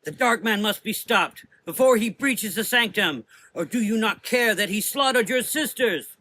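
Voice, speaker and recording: A man's voice: high voice, lively intonation, normal speed, sharp tone, voice pushed up, heavily acted, low-quality recording. A man voicing a stern, zealous mother superior of a warrior order, imperious and dramatic, in a gothic audio drama. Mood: commanding fury.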